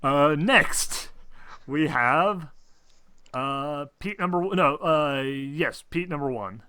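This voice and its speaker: A man narrating a tabletop game as game master in a casual, halting way, improvising and correcting himself as he goes. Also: on the high side, lively intonation, bright, forceful tone, slight background noise.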